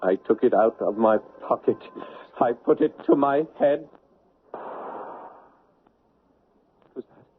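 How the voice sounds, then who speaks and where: An actor in a 1970s radio drama playing a bewildered, anguished man, halting and stammering as he speaks. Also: mid-range voice, close to an everyday voice. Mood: tormented. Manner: halting, stammering, repeating words. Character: anguished, haunted man newly dead, bewildered and lonely. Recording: noisy, narrow-band 1970s radio recording.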